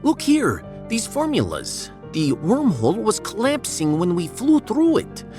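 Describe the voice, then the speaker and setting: A man's voice: high voice, huge pitch swings, a little slow, over music, heavily acted. A man playing a fretful, self-doubting, dreamy scientist with a Russian accent in a theatrical sci-fi audio drama.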